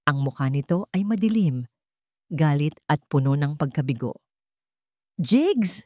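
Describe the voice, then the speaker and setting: Lowish, expressive woman storyteller. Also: normal speed, no room sound, clean studio sound. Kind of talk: dramatic scripted narration.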